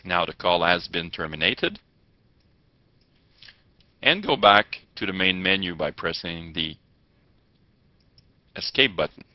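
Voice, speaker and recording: A man giving step-by-step tutorial narration as an instructor, with halting delivery and formal wording. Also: deep voice, expressive. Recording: muffled, echoey lo-fi room recording.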